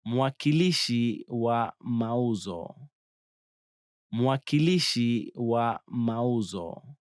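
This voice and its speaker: A man's voice: medium pitch, animated, drawn-out words, no echo. A man reads drill phrases as a model speaker for learners. He enunciates carefully and takes his time, in a mid-range voice with a dry, close sound. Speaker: native-speaker phrase demonstrator. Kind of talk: scripted language drill.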